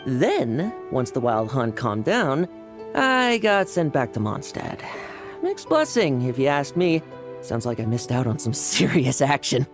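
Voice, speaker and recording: A woman voicing an energetic, cocky young male knight in a video game. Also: rumbling low, measured pace, music in the background, heavily acted. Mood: friendly. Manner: energetic, cocky, laughs easily.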